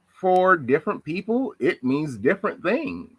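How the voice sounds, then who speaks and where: A man's voice: fairly high pitch, sing-song, a little slow, fairly bright tone, slightly rough, dry recording. A man from the United States giving a reflective monologue on social issues, asking rhetorical questions. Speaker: commentator.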